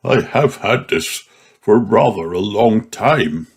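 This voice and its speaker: A man voicing a mild, easygoing father pig in a children's storybook, explaining things in a measured way. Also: fairly low pitch, expressive, slightly rough, full character voice. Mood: sheepish.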